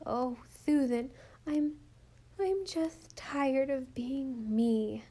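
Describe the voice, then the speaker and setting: A woman voicing a small, earnest little girl who lisps her s sounds and talks in a slow, pleading way. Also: sits high, warm tone, voice pushed up, heavily acted. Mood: tearful pleading. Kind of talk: storybook reading.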